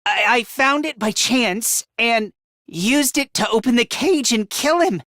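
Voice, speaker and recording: A timid, self-loathing young male fighter from an anime-style game. The voice sits very high and swings widely in pitch, yet takes its time between stammers. He runs himself down and is always close to panic. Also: animated, a little slow, pushed and bright, dry recording, full character voice. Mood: evasive, sullen. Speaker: video game voice actor.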